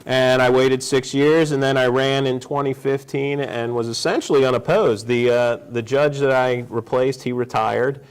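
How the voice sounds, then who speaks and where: A man's voice: medium pitch, fairly even intonation, normal speed, few pauses, clear, resonant tone, poor audio. A man in his forties speaking as a guest on a casual talk show, telling stories in a joking way. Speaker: guest, district judge.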